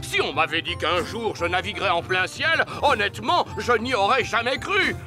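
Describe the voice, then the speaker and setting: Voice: mid-range voice, animated, moderate pace, natural voice. A performer voicing a jolly old grandfather pig captaining a boat, chuckling often in a dubbed cartoon. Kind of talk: cartoon dubbing. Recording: music bed under the dialogue.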